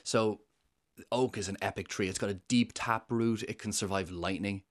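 A man's voice: lowish voice, steady tone, normal speed. A man, explaining ancient trees and old laws with enthusiasm in an interview. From Ireland. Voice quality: enthusiastic, explanatory, some hesitations. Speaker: guest, nature and history expert.

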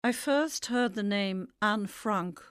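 Slow, measured older Dutch-born woman narrating. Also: mid-range voice, background noise. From Netherlands. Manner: measured, reflective storytelling. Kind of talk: scripted personal memoir narration.

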